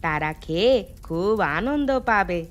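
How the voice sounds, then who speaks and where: A woman voicing a kindly, patient village housewife in a cartoon, in a gentle, reassuring manner. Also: on the low side, lively intonation, a little slow. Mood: caring. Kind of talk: animated cartoon dialogue.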